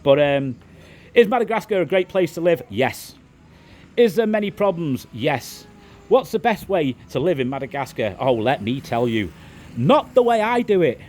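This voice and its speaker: A middle-aged man with a softened Liverpool accent, chatting loosely to camera as a vlogger, with lots of restarts. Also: a little high, big pitch swings, moderate pace, soft background music. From England. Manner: rambling, frequent restarts and "it is what it is". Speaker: vlogger, property developer. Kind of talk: casual monologue to camera.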